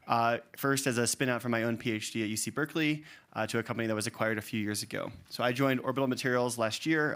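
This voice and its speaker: A man's voice: mid-range voice, a bit bright. A man speaking as a chief scientist, introducing his work to a panel audience. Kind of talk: spoken self-introduction.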